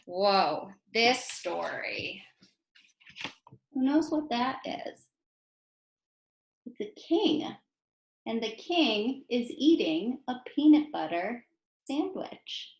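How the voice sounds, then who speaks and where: An American woman reading poems aloud to children in an expressive way, speaking directly to her young listeners. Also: on the high side, extremely slow, pauses often. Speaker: children's poem reader and teacher. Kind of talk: animated read-aloud for children. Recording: roomy, echoey sound.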